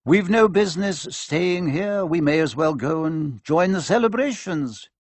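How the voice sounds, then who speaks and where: A man voicing an old, wise and kindly male wizard headmaster who speaks gently and unhurriedly with dry humor. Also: fairly high pitch, animated, unhurried, no echo, pitched above the performer's own voice. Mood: gently wry.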